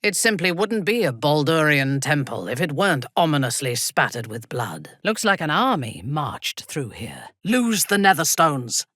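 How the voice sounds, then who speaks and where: An actress playing a cold, ruthless, haughty drow commander in a video game, seductive and menacing, with a husky, breathy voice. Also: female voice, low voice, big pitch swings, moderate pace, hardly any pauses, a bit bright, no room sound, clean studio sound, full character voice. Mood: grim. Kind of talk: dramatic video game character dialogue.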